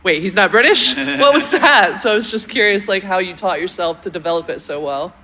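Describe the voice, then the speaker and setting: A man's voice: sits high, brisk, gravelly, sharp tone. A man in the audience asking a question in a casual, conversational way. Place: large convention hall. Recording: reverberant hall PA.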